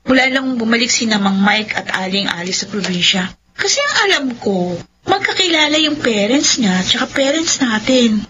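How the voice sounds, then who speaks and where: A woman acts out a good-natured young sister in a Filipino radio drama. She is chatty and bashful, with a high, sparkling voice that moves around freely. The audio is a little dull and noisy. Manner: chatty, animated, quick to protest. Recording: dull lo-fi audio with background noise.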